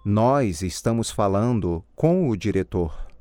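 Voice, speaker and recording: Low-voiced man for language-course answers, unhurried and carefully spoken, light hiss on the track. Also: animated. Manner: slow, deliberate, clearly enunciated. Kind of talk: scripted language drill answers.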